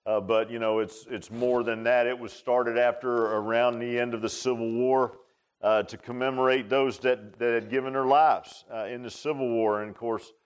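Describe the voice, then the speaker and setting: An American man in his sixties preaching an informal sermon in a halting, folksy, conversational way, often repeating words. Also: medium pitch, little pitch movement, smooth. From United States. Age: 60s. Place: speaking in a church.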